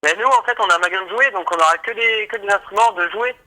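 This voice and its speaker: A man's voice: high-pitched, quick pace, over a noisy crowd. A man working as a shop assistant, politely and hesitantly explaining things to a customer over the telephone. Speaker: toy shop sales assistant. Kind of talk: customer service phone conversation. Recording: voice heard over a telephone line.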